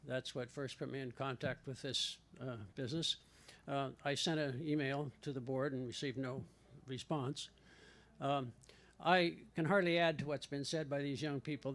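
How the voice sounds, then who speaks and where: An older retired professor making an indignant, rambling public comment with many fillers at a podium microphone in a school board meeting room. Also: male voice, mid-range voice.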